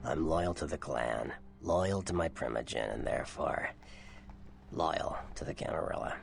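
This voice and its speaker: An actor voicing a cynical, streetwise vampire informant in a video game, gravelly, wry and blunt. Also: male voice, a bass voice, measured pace, full character voice. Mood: proud. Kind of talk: video game character dialogue.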